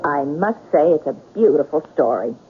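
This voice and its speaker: An actress playing a romantic yet practical woman in a mystery radio drama, coaxing and questioning in a husky voice. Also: low voice, animated, moderate pace, soft background music. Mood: coaxing, curious. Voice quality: husky, breathy. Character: romantic, practical, gently prodding female listener of writer's tale. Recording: narrow tinny radio broadcast.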